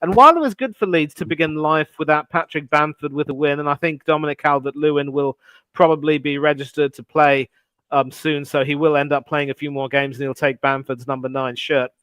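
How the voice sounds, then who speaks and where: A man's voice: on the high side, steady tone, a little fast, fairly bright tone, clear, resonant tone, dry recording. A man co-hosting a casual football chat, rambling through opinions with lots of "you know" and "um".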